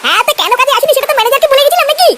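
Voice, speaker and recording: Squeaky, rapid-fire young cartoon workman. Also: big pitch swings. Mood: aggrieved. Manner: whiny, foul-mouthed complaining. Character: put-upon young working man, quarrelsome and self-pitying. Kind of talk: comic cartoon dialogue.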